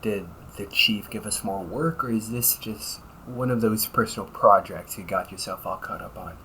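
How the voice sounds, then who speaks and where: A man's voice: on the low side, moderate pace, bright, forceful tone, slight background noise, low-quality recording. A man playing a cynical, teasing vampire cop in an audio roleplay, talking in a slangy, sarcastic and flippant way. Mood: exasperated, sarcastic. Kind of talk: audio roleplay, casual conversational acting.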